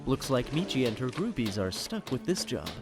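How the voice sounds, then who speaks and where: A man playing a chatty insider who narrates a high school comedy, confiding directly in the listener. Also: sing-song, normal speed. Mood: wry, animated. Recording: music behind the voice.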